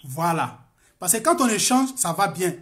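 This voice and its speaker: A man's voice: high voice, very animated, normal speed, fairly bright tone. Man, imam, defensive and explanatory debater with repeated phrases, heard in a religious discussion on a phone call.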